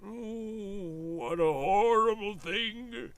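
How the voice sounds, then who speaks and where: A man playing a vengeful murdered father in a radio horror drama, taunting with drawn-out mock pity. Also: sits high, no room sound, heavily acted.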